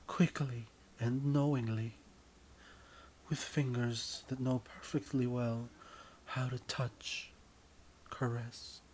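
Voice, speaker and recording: Slow, deliberate male story narrator. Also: medium pitch, slight background noise. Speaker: writer and narrator. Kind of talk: scripted second-person story narration.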